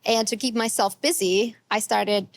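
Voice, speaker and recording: Lady, relaxed chatty interview guest. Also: mid-range voice, normal speed. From Egypt. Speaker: artist guest. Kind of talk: casual interview answers.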